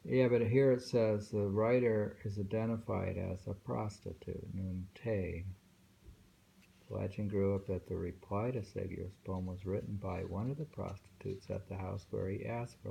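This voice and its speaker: A man reading poetry aloud informally and commenting on it in a halting, musing way, pausing often. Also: low voice, creaky, dark tone, rough recording. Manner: halting, musing, with frequent pauses. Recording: faint background noise, home recording.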